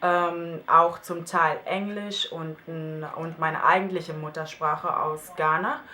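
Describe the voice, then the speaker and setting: A woman's voice: sits low, fairly even intonation, takes it slowly, sharp tone, a little hiss or hum. A young woman from Germany casually talking about her languages, thinking aloud with many fillers. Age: young adult. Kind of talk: casual multilingual self-introduction. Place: her home in France.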